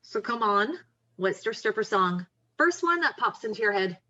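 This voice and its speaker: Woman acting bright-voiced, blunt, wry best friend. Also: mid-range voice, sing-song, normal speed, dull audio. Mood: encouraging, wry. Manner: frank, coaxing, plain-spoken. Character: Riley, blunt, confident, wry young woman artist friend. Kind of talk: table read of a screenplay.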